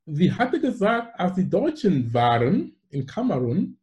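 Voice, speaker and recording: A man in his forties from Cameroon with a Cameroonian accent, telling stories animatedly as a guest in a casual talk. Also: sits high. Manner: animated storyteller, quick laughs. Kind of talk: casual conversation.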